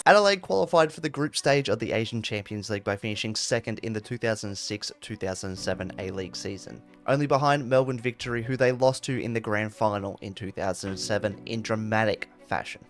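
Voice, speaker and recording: A man's voice: on the low side, fairly quick, few pauses, faint music behind. A man reading scripted narration for a football history video.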